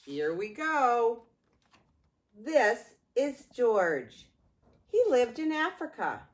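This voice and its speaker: Slow, lively older woman reading a storybook. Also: a little high. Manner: expressive, with drawn-out words and pauses. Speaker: grandmother reading a storybook to grandchildren. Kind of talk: read-aloud children's story time.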